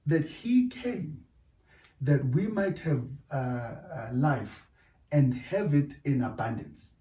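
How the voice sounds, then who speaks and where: A middle-aged South African man whose first language is Zulu. He talks in a deliberate way in a casual discussion and keeps checking in with "right?". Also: mid-range voice, warm tone. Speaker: podcast co-host. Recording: echoey room, distant mic.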